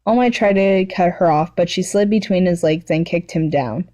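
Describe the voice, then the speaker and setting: Mellow-voiced woman reading casually. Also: on the low side, normal speed. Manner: self-deprecating, stumbles while reading. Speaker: fan fiction writer and reader, channel host. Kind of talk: amateur story reading with casual chat.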